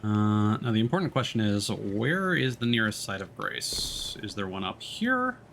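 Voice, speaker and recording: Lively male game streamer. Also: on the low side, moderate pace, creaky, a little hiss or hum. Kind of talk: casual live game commentary, thinking aloud.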